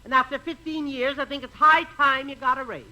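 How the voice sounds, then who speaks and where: A woman's voice: sits high, steady tone, a little fast, pushed and bright. A woman playing a scornful, domineering middle-aged mother in a tart, emphatic 1950s radio comedy style. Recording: noisy old radio broadcast recording.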